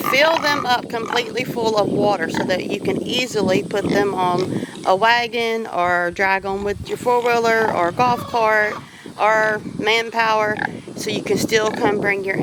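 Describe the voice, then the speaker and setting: A woman's voice: mid-range voice, very noisy. A woman with a Southern accent talking casually and practically about her homestead while walking outdoors. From Louisiana. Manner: down-to-earth, folksy, practical. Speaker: homesteader vlogger. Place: outdoors on a farm.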